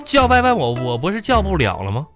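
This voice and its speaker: Needy whiny male office worker, stammers and wheedles, pitch jumps all over, music behind. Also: wildly swooping pitch, quick pace. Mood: coaxing. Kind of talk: broadcast radio comedy sketch acting.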